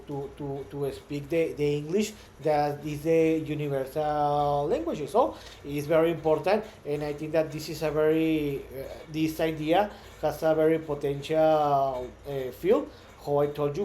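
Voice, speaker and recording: A man from Mexico, a native Spanish speaker with a Mexican accent, talking about his startup in a halting way with many repeated words and restarts. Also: on the high side, dark tone, a little hiss or hum. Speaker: startup founder. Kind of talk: casual monologue.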